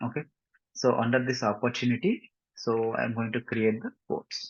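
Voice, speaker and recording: A man with a Telugu Indian accent giving a step-by-step software tutorial, mixing in English and often checking understanding with short tag questions. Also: medium pitch, steady tone, drawn-out words, slightly rough. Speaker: software instructor. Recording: muffled lo-fi mic, roomy echo.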